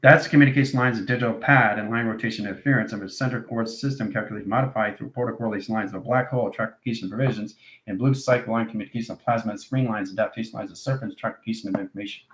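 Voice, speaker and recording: A man's voice: fairly low pitch, steady tone, very fast, fairly bright tone. A man giving an improvised esoteric lesson as an instructor, chanting out strings of technical-sounding phrases in an incantatory way. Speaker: instructor, channel presenter. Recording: echoey, reverberant room sound.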